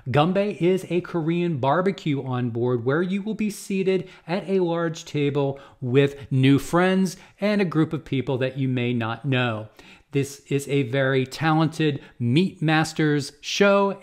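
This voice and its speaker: A man in his fifties with an American accent presents an explanatory guide as a vlogger, in measured steps with emphatic repeats, close to a desktop microphone in a small home studio. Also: on the high side. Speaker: cruise travel vlogger and agent. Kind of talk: explanatory talk to camera, semi-scripted guide. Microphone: close desktop condenser microphone.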